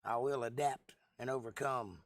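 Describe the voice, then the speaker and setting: A man with a hoarse, froggy voice hosting a casual livestream, rambling and joking at his own expense as he replies to viewers. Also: medium pitch, fairly even intonation, normal speed, no echo, clean studio sound. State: lost voice for months, throat clearing. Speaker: livestream host.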